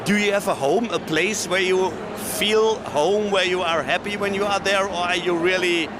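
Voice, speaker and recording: High voiced guy interviewing, kinda unsure, restarts a lot, cars going by. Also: steady tone, moderate pace, background noise. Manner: hesitant, apologetic, restarts questions. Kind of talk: casual interview questions.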